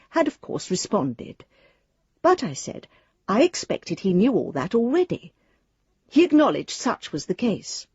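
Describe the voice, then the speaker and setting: A woman's voice: medium pitch, dark tone, breathy edge, dry recording, lo-fi sound. An actress playing a prim, wryly amused woman reading her letter in a radio drama, formal, arch and politely understated. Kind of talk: radio drama monologue, letter read aloud.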